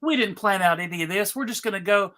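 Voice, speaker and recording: A host casually running a live event, announcing in a theatrical, mock-serious way with halting pauses. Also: mid-range voice, crowd noise. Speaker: panel host and narrator.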